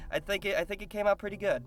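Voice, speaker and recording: A man giving casual interview answers as a voice actor, thoughtful and pausing to find his words. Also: sits high, fairly even intonation.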